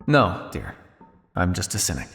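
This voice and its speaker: Suave male vampire scientist, played by a man, cynical and condescending, gravelly creak, long reverb, ASMR roleplay. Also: low voice, wildly swooping pitch, fairly bright tone, faint music behind, full character voice. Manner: teasing, condescending, drawling with theatrical pauses.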